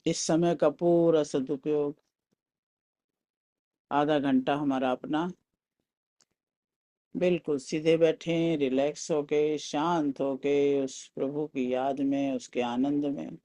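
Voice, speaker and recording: Slow-paced Indian man guiding meditation. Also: a little high. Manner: calm, soothing, instructing in short phrases with pauses. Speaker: guided meditation teacher. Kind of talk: guided meditation instruction.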